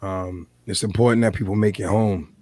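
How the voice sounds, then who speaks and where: Low-voiced, slightly hoarse American man. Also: steady tone, moderate pace, a little mellow, no echo. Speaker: podcast host. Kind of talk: casual podcast talk.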